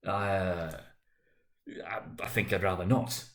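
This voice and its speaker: A man's voice: low voice, fairly even intonation, slow pace. A frightened old miser from a classic storybook, played by a man reading aloud. He falters and hesitates as he speaks. His manner is nervous and pleading, like someone trying to bargain his way out. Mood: faltering, reluctant.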